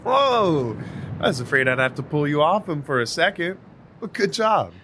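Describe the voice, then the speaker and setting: Brash, bright-voiced cocky vampire. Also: male voice, a little high, very animated, moderate pace, breathy edge, full character voice. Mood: brash, dismissive. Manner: flippant, sarcastic, slangy. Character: cocky, brash male vampire mentor, casually callous. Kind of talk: audio drama acting.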